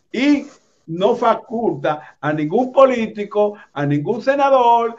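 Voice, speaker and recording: Dominican man, high voice, fiery rallying street speech outdoors, dull lo-fi sound. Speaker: activist host at a street protest. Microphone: handheld microphone.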